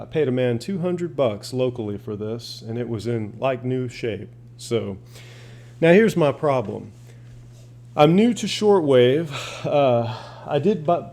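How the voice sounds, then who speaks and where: Casting: male hobbyist, warm soft-edged voice, unscripted, folksy, plain-spoken, happy to ramble while demonstrating equipment. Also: medium pitch, slight background noise. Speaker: shortwave radio hobbyist asking viewers for advice. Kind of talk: casual unscripted demonstration and chat.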